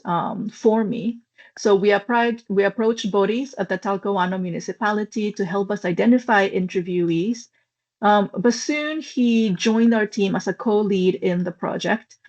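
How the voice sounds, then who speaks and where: A woman lecturer presenting her research in a webinar, speaking thoughtfully with frequent ums and uhs. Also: mid-range voice. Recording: slightly dull, lo-fi online call audio.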